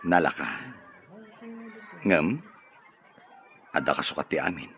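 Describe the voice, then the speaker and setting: Deep-voiced, insistent wealthy man. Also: slow, fairly bright tone, highs cut off, slight background noise, heavily acted. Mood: persuasive. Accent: Ilocano. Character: wealthy, insistent man offering money for a child. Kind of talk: Ilocano radio drama acting.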